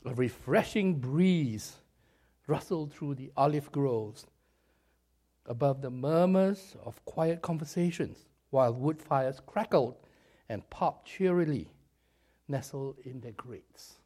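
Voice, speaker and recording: A man preaching a sermon, his voice slightly raspy and hoarse after a cold, talking in an animated, chatty way with jokes at his own expense and stretches of storytelling. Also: mid-range voice, takes it slowly.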